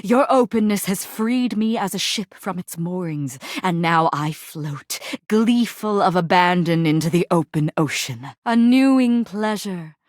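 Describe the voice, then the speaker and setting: Grandiose warrior trainer, sweeping pitch. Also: female voice, expressive, unhurried, dry recording, studio quality. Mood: elated, poetic. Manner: pompous, theatrical, archaic declaiming. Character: proud battle-obsessed warrior trainer with archaic grandiose speech. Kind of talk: theatrical video game character acting.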